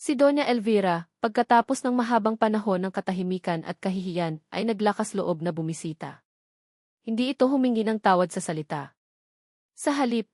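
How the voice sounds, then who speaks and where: A woman's voice: mid-range voice, darkish tone, no room sound. Filipino woman storyteller, dry close sound. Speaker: audiobook storyteller. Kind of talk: scripted dramatic narration of a love story.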